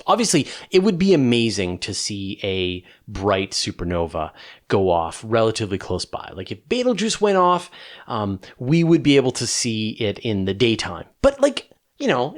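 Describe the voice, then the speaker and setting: A man hosting a question-and-answer show, explaining things in a casual, enthusiastic and emphatic conversational way. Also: mid-range voice.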